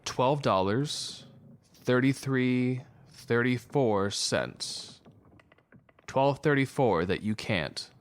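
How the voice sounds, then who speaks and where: An actor playing a weary, wry doctor in an audio drama, with a dry, understated delivery. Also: male voice, mid-range voice, drawn-out words, clear, resonant tone. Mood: wry challenge. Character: weary doctor, old estranged friend, wry and bitter.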